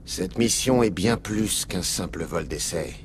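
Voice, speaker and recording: A man playing a grave, seasoned alien agent in a French video game, speaking with measured authority. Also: low-pitched, slight background noise. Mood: solemn.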